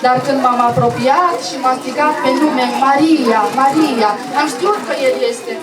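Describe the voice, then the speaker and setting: A woman's voice: sits high, natural voice. A woman playing an earnest, emotive female follower of Jesus in a puppet show interview, speaking a little hesitantly. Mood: joyful. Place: echoey live puppet show with audience. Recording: echoey, distant, noisy, crowd behind.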